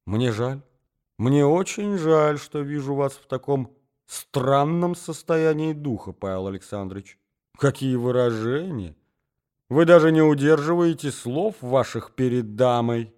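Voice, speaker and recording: A man voicing a scheming society mother in a story reading, painfully soft, reproachful and coaxing in manner. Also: medium pitch, animated, a little slow, clean tone, pitched above the performer's own voice, lightly acted. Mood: soothing, pitying. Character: scheming, soothing society mother, feigning gentle suffering.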